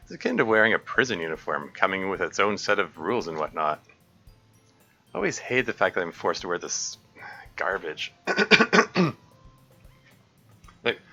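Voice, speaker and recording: A man's voice: deep voice, sharp tone. A man reading a visual novel aloud on a live stream, often stopping to correct himself and laugh. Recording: dull lo-fi stream audio, faint music.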